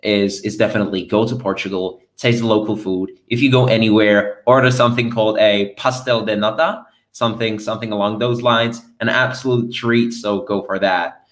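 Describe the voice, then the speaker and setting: A man's voice: lowish voice, fairly even intonation, a little fast, few pauses, a bit bright. A man moderating an online panel over a video call, upbeat and chatty with playful asides.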